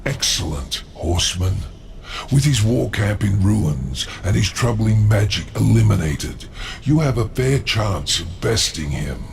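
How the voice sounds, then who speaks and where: Casting: scheming demon noble, low creaky voice, urbane and condescending game delivery. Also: male voice, sing-song, measured pace, a little hiss or hum, full character voice. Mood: cold satisfaction. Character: cunning, haughty male demon lord, coolly self-serving ally. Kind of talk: theatrical video game acting. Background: music.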